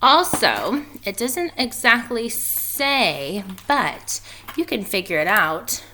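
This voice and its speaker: A woman's voice: a little high, very animated, slow, pushed and bright, slight background noise. A woman reading a children's storybook aloud and talking informally to pupils like a teacher.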